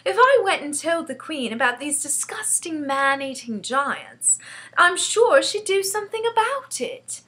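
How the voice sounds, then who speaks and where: A woman voicing an impassioned, determined little orphan girl in a storybook, excitable and insistent. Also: high voice, a little slow, voice pushed up, full character voice. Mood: excited. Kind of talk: storybook character voice.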